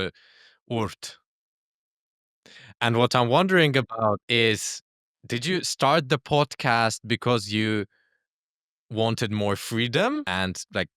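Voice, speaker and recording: A man hosting a podcast, asking brief, measured interview questions. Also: on the low side, a bit bright, clean tone, no echo, studio quality. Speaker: podcast host.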